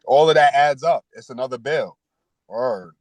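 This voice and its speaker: A man in his thirties talks frankly as a podcast guest, peppering his speech with street slang and fillers. His voice sits at an everyday pitch. The recording is close and dry, with no room sound. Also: normal speed, darkish tone, no echo. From New York. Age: 30s. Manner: street slang, frequent "you know" and "word". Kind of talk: candid interview talk.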